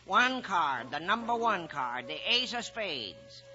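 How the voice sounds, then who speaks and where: A man's voice: high-pitched, very animated, slow, pushed and bright, heavily acted. An actor playing a sly, bossy western outlaw boss in old radio drama, mocking and commanding his men. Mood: gloating, menacing. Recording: dull vintage radio recording.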